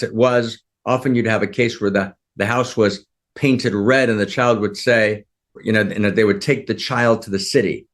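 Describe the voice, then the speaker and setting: Earnest man teaching a group. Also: fairly low pitch. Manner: keeps checking in with listeners, repeats phrases. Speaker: spiritual teacher.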